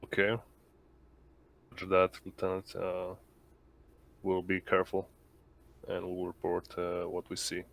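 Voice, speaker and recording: A man chatting as a player in a tabletop roleplaying game, halting and often questioning. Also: low voice, monotone, creaky, fairly bright tone. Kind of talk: casual tabletop game chat.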